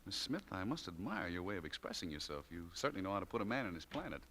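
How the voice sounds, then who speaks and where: An American actor playing a polite, awkward shop manager in 1940s radio drama, hesitant and stammering, on an old recording. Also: male voice, low-pitched, sing-song, fast, fairly bright tone, a little hiss or hum. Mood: friendly. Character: mild-mannered, awkward male shop manager visiting an ailing employee.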